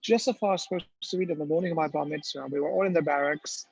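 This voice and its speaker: A man's voice: a little high, fast, gravelly, darkish tone, slightly rough. A man giving a storytelling lecture with slides, talking conversationally with many ums and restarts, in a dull, dry lo-fi recording.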